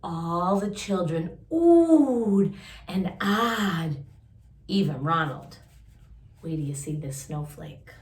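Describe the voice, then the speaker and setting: A woman reading a picture book aloud to young listeners, with expressive drawn-out emphasis and chatty asides. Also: lowish voice, roomy sound. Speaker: children's story-time host reading a picture book aloud.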